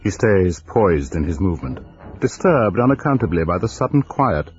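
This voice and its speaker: An actor playing a grave storyteller, narrating a ghost tale with measured, suspenseful phrasing and a husky voice. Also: male voice, low voice, sing-song, lo-fi sound, faint music behind. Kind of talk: dramatic scripted narration.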